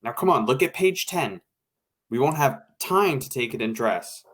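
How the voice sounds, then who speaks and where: A man's voice: mid-range voice, expressive, moderate pace, a bit bright. Man as a brusque, bossy radio director. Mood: impatient, bossy. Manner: brusque, commanding, slangy. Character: impatient, bossy male radio director in 1940s studio. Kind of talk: 1940s radio drama acting.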